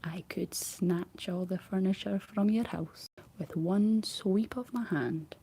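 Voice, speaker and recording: A woman's voice: mid-range voice, slow, vocal fry, dark tone, husky, heavily acted. A woman whispering the lines of a menacing fairy woman in green, nasty and cold in tone. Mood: threatening.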